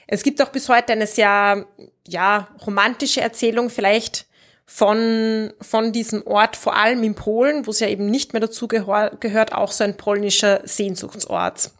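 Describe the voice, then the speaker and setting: A woman's voice: medium pitch, fairly even intonation, moderate pace. A woman discussing books as a host, explaining things in a reflective, informal and conversational way.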